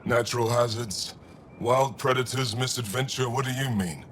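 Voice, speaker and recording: An actor playing a shrewd alien cargo trader in a video game, with blunt, clipped phrasing. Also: male voice, medium pitch, moderate pace, fairly bright tone, a little hiss or hum, close to an everyday voice. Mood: blunt, businesslike. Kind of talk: video game dialogue acting.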